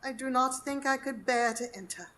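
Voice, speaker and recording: Grieving elderly matriarch, played by a woman, gentle and wistful, high and bright, live radio drama. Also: normal speed. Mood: sorrowful, gentle. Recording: live stage recording, faint ambient noise.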